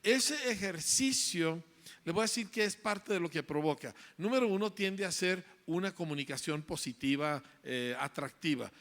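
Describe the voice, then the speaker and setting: A man's voice: a little high, animated, moderate pace, fairly bright tone. A man giving an emphatic lecture to parents and teachers, driving points home with repeated phrases and tag questions. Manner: emphatic, repetitive rhetorical lecturing with frequent "¿verdad?".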